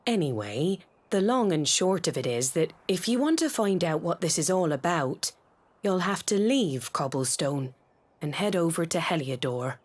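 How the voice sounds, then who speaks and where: Lively, homely British village mother. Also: low voice, moderate pace. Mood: wry, resigned. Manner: homely, chatty, exclamatory. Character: doting, homely middle-aged village mother, fussy and wry. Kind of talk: scripted video game voice acting. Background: music.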